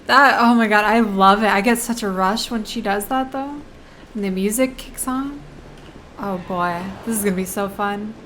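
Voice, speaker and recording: A woman's voice: medium pitch, normal speed, fairly bright tone, slight background noise. A woman giving casual, playful, cocky commentary as she plays a video game, often exclaiming. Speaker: game streamer playing and reacting. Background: game music and dialogue.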